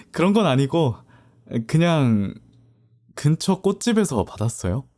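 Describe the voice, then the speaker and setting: A man's voice: on the high side, sing-song, slow pace, darkish tone. A man playing an earnest, dutiful young student in an audio drama, speaking politely and a little hesitantly. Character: earnest, dutiful young male student, burdened but resolute. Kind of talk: Korean audio drama acting.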